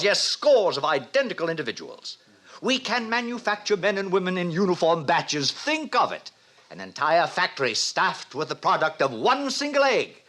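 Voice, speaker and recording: An actor playing a pompous, lecherous middle-aged director lecturing theatrically in an old radio drama. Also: male voice, high voice, fairly bright tone. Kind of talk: 1950s radio drama acting. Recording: noisy old radio broadcast recording.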